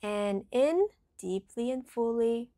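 Slow, hushed female meditation guide. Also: a little high, expressive, slight background noise. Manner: soothing, deliberate phrasing with breathy whispered endings.

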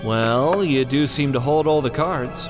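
A man's voice: mid-range voice, measured pace, music in the background, natural voice. A man playing a fearless, sarcastic ghost-hunting scientist in a comic dub, glib and casual with an American accent. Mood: flippant. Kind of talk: comic dub character acting.

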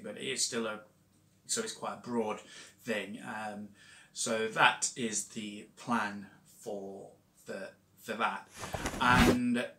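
A man's voice: mid-range voice, sharp tone. A young man casually chatting on a home-studio vlog, rambling with many fillers and restarts. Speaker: vlogger and self-published author. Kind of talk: casual talking-head vlog. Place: home studio room with shelves. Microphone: fixed camera at desk distance. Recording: echoey room.

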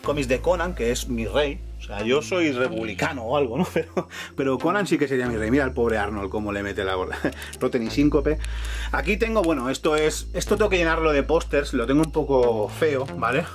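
A man's voice: medium pitch, expressive, brisk. A middle-aged man from Catalonia with a La Mancha accent, chatting enthusiastically to viewers as he walks through a room at home. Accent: La Mancha Spanish. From Catalonia, Spain. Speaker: YouTube host. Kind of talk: casual room tour vlog. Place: home room full of shelves, indoors.

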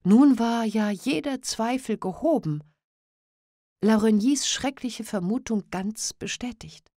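A woman narrating a classic novella as an audiobook, in a measured, unhurried storytelling manner. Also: fairly high pitch, lively intonation, warm tone, no echo, clean studio sound.

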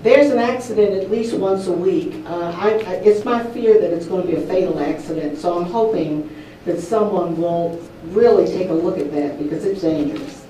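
A woman's voice: lowish voice, normal speed, dark tone, a little hiss or hum. A woman speaking at public comment in a council meeting, polite and concerned. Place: large council chamber. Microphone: podium microphone heard from a distance. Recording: echoey chamber, mic at a distance.

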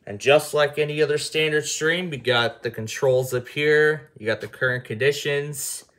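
A man's voice: a little high, moderate pace, fairly bright tone. A man giving a casual step-by-step tutorial, often repeating and rephrasing himself as he explains. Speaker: tutorial host. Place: indoor room at a table.

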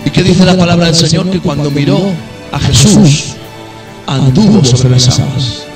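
A man preaching a sermon, passionate, dropping to quiet low tones and then rising to shouted peaks. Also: normal speed, far from the mic, over loud music. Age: adult. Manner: impassioned, swings between low intimate tones and high shouted peaks.

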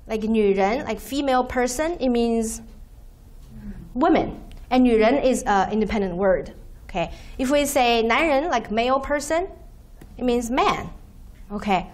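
Chinese-born woman lecturing, high voice. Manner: frequent "okay", explains terms slowly. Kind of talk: university lecture with slides. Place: lecture room, standing at podium by projector screen.

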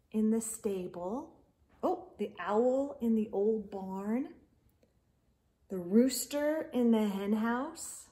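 A middle-aged woman reading a picture book aloud to young children in an expressive, sing-song way. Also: medium pitch. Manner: expressive, sing-song delivery with animal sounds and hushed asides. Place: living room or home library with bookshelves.

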